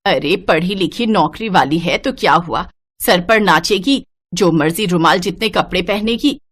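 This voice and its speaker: A woman's voice: lowish voice, measured pace, fairly bright tone. A woman voicing a bossy, disapproving middle-aged mother-in-law in a cartoon story, scolding and domineering. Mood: worried, disapproving. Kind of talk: animated moral-story cartoon dialogue.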